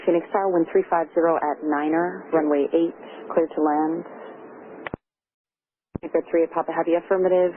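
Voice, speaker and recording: Clipped, procedural tower controller over radio. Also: mid-range voice. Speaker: air traffic controller. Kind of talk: formal radio phraseology. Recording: narrow crackly air traffic radio.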